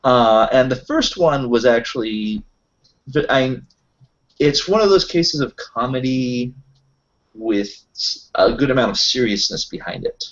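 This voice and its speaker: A man chatting casually as a tabletop roleplaying player, pausing mid-thought as he goes. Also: medium pitch, animated. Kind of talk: casual chat. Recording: dull lo-fi voice recording.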